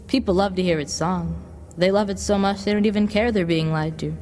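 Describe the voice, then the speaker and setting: A woman playing a smooth-tongued devil boy in an audio drama, coaxing like a salesman and offering tempting gifts. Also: mid-range voice, steady tone, fairly quick, darkish tone, natural voice. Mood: beguiling. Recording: long reverb, background noise.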